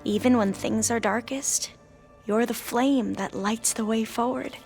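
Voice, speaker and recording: Devoted companion, earnest, fairly high female voice, video game drama, soft music behind. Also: unhurried, creaky. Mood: reverent. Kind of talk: video game cutscene acting. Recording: dialogue over game score.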